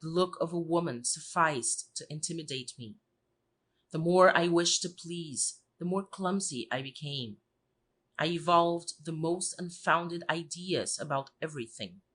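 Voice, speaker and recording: A woman's voice: sits low, a little slow, fairly bright tone, husky. A woman reading scripted literary narration as an audiobook narrator.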